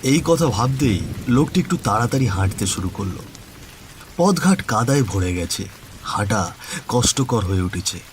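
Expressive male horror story narrator. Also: lowish voice, moderate pace, long pauses, a little hiss or hum.

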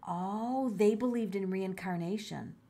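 A woman's voice: mid-range voice, measured pace, dark tone. A middle-aged woman doing a casual tarot reading, musing aloud, exclaiming and repeating her phrases. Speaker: tarot reader.

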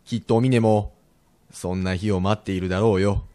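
A calm, worldly merchant husband from old Japan, played by a man in a radio drama. His voice sits fairly low and he speaks plainly, with gentle persuasion. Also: normal speed, close to an everyday voice.

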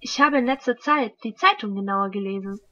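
An actress playing a crime boss's curious young daughter in a German audio drama, casual and inquisitive. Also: female voice, medium pitch, fairly quick, fairly bright tone, close to an everyday voice. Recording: dull, noisy home microphone sound.

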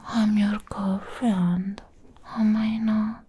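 A young woman voicing a possessive, lovesick vampire ex-girlfriend in a breathy, coaxing ASMR whisper, close to the microphone. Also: medium pitch, little pitch movement, dark tone, slight background noise. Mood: uneasy, pleading. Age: young adult. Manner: slow, coaxing, clingy. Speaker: ASMR roleplayer.

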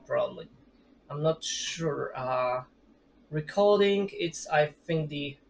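Casual male streamer, 30s, unhurried delivery, self-mocking, home desk mic. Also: a little high, vocal fry, a bit bright, slight background noise. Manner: rambling, chatty, self-deprecating, laughs often. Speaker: gaming streamer. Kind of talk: casual let's play commentary. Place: home room with gaming chair.

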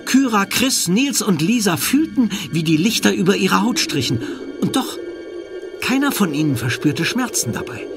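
A man's voice: high-pitched, big pitch swings, normal speed, over music. A man narrating a children's audio drama in dramatic scripted style.

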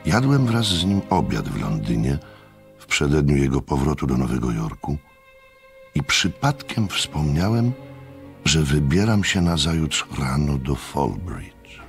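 A measured storyteller in a radio play. His voice sits extremely low, with a gravelly creak. He recounts his tale with literary care and mild scepticism. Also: big pitch swings, faint music behind, exaggerated voice. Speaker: narrator.

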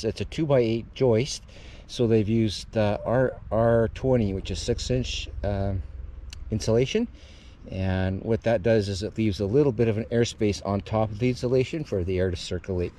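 A man hosting a casual hands-on repair tutorial, plain-spoken and folksy, with a halting delivery. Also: mid-range voice, warm tone. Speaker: host of DIY renovation channel. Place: outdoors under a raised mobile home.

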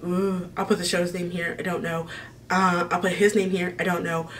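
Young adult American woman, casual vlog host, lower register, effusive rambling delivery, slightly distant mic. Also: little pitch movement, normal speed, fairly bright tone, a little hiss or hum. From Maryland, United States. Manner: gushing, rambling. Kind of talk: casual chat to camera. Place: small decorated room indoors.

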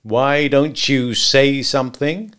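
A man voicing a sardonic gangster hitman who taunts a captive with slow, mocking talk. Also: medium pitch, fairly even intonation, fairly bright tone, clean tone, pitched above the performer's own voice. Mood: taunting.

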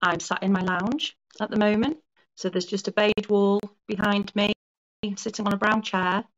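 A woman's voice: medium pitch, steady tone, normal speed. A woman in her forties from Wales, speaking as a podcast guest from her lounge, explaining her story at length with small restarts and self-corrections. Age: 40s. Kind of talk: casual conversational interview. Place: home lounge. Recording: dull lo-fi call audio.

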